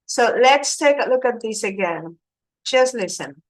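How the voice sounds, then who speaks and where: A woman's voice: on the high side, animated, measured pace. A woman teaching English, patiently prompting and correcting her student. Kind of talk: online English lesson.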